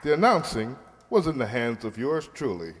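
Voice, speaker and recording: A man's voice: mid-range voice, big pitch swings, moderate pace, a faint crowd. A man announcing scripted closing credits in the style of an old-time radio show. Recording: reverberant hall, clear noise.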